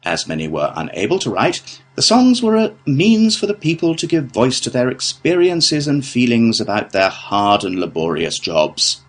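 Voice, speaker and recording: A British man delivering a scripted academic lecture in a measured, formal manner. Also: medium pitch, wildly swooping pitch. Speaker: lecturer in a listening test.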